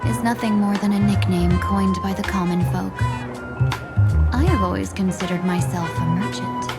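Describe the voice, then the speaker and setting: A woman playing a cool, delicate-looking merchant who poses as a deadly assassin in a video game, speaking in a composed, measured way with veiled threats. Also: mid-range voice, fairly even intonation, close to an everyday voice. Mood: cool menace. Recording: loud background music.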